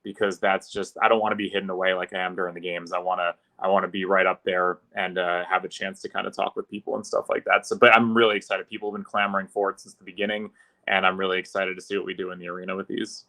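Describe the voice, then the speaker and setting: A man's voice: low-pitched, level tone, quick pace, sharp tone, poor audio. A man who works as an announcer, chatting informally and fluently as a podcast guest. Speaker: podcast guest, arena public address announcer. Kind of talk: casual interview chat.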